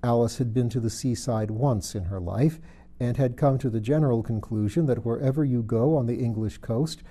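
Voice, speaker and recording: A man's voice: mid-range voice, mellow. A man reading a children's storybook aloud as scripted narration. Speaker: audiobook reader.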